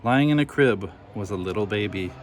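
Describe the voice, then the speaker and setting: A man narrating a children's storybook in an expressive read-aloud style. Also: on the low side, a little fast, slight background noise. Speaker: storybook reader.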